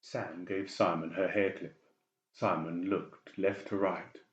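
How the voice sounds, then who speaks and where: A man reads dramatic story narration for an audiobook. His voice is very low. The recording is roomy and a bit muffled. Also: fairly even intonation, takes it slowly. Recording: roomy, slightly dull sound.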